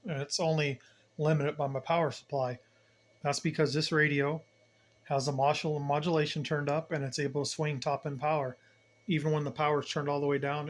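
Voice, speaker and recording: Male hobby demonstrator, a little higher than average in pitch, informal, colloquial, emphatic explainer. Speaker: CB radio hobbyist host giving a demonstration. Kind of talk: casual hands-on demonstration, explaining as he goes.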